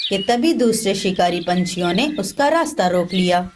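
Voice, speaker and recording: A woman's voice: mid-range voice, lively intonation, moderate pace, slight background noise. A woman narrating a children's animal story as scripted cartoon narration.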